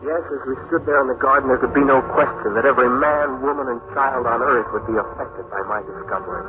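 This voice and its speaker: A man's voice: medium pitch, quick pace, over music, close to an everyday voice. An actor playing an earnest male scientist in a 1950s radio drama, serious and dramatic as he tells of his discovery. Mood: somber narration. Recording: hissy, tinny old radio recording.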